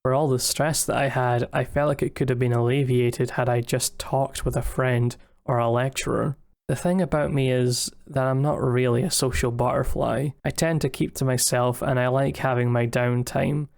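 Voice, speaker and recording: A young man narrates in a mid-range voice with very little rise and fall. His delivery is measured and candid, like a scripted look back on his own experience. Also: medium pitch, flat delivery, gravelly, darkish tone. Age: young adult. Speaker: student filmmaker narrating own video. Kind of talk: scripted retrospective voice-over narration.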